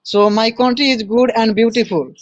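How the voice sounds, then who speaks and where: A man's voice: extremely high pitch, fairly even intonation, normal speed, dry recording, highs cut off. A young man from Nepal with a Nepali accent, chatting casually in simple learner English and often repeating his phrases. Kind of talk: casual practice conversation.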